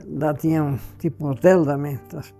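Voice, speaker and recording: Very dark-voiced man in his nineties. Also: a little high, steady tone, moderate pace, creaky, soft background music. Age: 90s. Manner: loose, chatty recollection in short bursts. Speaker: interviewee. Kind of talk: documentary interview reminiscence.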